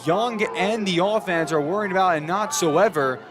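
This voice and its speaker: A man's voice: high-pitched, moderate pace, a crowd in the background. A young adult American man giving live play-by-play commentary as a football announcer.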